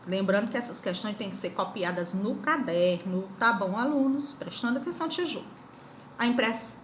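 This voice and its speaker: A woman's voice: a little high, slight background noise. A woman teacher with a Brazilian Portuguese accent, giving an online lesson and coaxing her students with questions. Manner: questioning, coaxing students, sing-song emphasis. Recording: echoey room, faint ambience.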